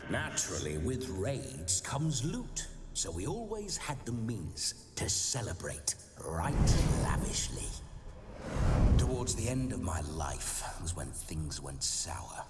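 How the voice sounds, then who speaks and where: Rowdy boastful ghost noble, swooping wildly in pitch, slow drawl, long hall reverb, music underneath. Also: male voice, very animated, heavily acted. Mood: jovial. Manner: jovial, boastful and theatrical. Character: boisterous ghost of an old nobleman brawler. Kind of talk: video game cutscene acting. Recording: game audio with reverb and music.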